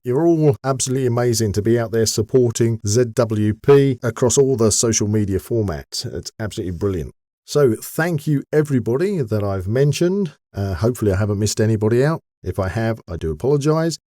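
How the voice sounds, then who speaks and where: A man's voice: mid-range voice, animated, brisk, dark tone, dry recording, clean studio sound. A man hosting a podcast, giving listeners an update in an upbeat, encouraging, chatty way. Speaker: podcast host.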